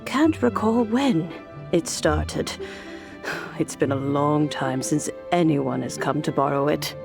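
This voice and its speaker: A woman playing a kindly old granny in a video game, unhurried, rambling and gently nostalgic. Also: deep voice, gravelly, over music, exaggerated voice. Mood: wistful. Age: older. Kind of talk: video game character acting.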